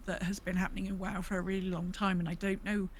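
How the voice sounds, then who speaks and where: A woman podcast co-host talks in a casual, conversational way at a middle pitch. There is faint noise behind her voice. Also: little pitch movement, brisk. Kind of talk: casual discussion of a video game.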